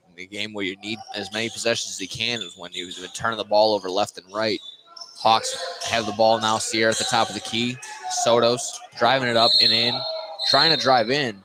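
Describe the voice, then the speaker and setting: A man's voice: fairly low pitch, flat delivery, a little fast, background noise. A man doing live radio play-by-play of a basketball game as the announcer, courtside in a gym.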